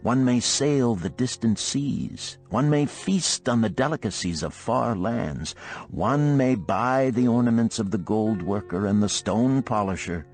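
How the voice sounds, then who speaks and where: A man's voice: mid-range voice, animated, a little slow, darkish tone, soft background music, voice pushed up. A man voicing a wealthy, wise Babylonian man in a storybook, lecturing his friends in a grave, formal way. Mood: reflective, resolute. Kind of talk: storybook character reading.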